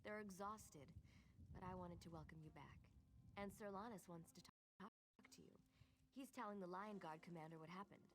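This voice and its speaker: A woman's voice: on the low side, normal speed, lightly acted, poor audio. An actress playing a friendly, playful young female mage ally in a fantasy video game, reassuring in manner. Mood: warm, playful. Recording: game music behind the voice.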